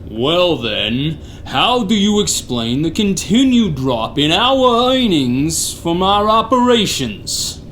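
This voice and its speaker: Comic radio mob boss: domineering, irritable, drawn-out and deliberate, heavy swings in pitch, slangy scolding. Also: very animated, fairly bright tone. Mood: stern, suspicious. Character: pompous, irritable gangster boss, curt and domineering. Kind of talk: comic radio theater acting. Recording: faintly noisy recording.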